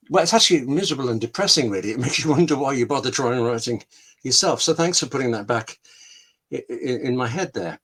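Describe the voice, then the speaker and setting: A man's voice: medium pitch, fairly bright tone, slightly rough. A man being interviewed as a scriptwriter on an online call, explaining with examples and anecdotes in a candid way, often interrupting himself. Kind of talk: explanatory webinar chat with examples.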